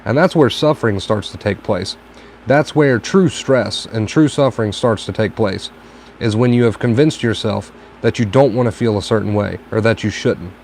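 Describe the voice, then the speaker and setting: A tired man with a raspy, dry throat, giving calm advice on a casual live stream and repeating his points for emphasis. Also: lowish voice, moderate pace. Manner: reflective, repeats phrases for emphasis. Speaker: host answering viewer questions. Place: home stream from a tablet. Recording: faint background noise, lo-fi stream.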